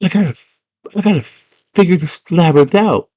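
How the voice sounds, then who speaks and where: Man putting on a high-pitched headstrong young heroine. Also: moderate pace, darkish tone. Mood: frustrated. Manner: dramatic, slangy, pleading. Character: headstrong young female heroine lost in a labyrinth.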